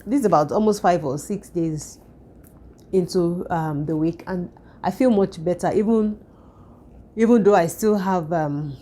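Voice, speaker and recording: A woman vlogger with a croaky, hoarse voice, recovering from illness, chatting at home in a relaxed way with frequent fillers and restarts. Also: lowish voice, unhurried. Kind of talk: casual vlog chat.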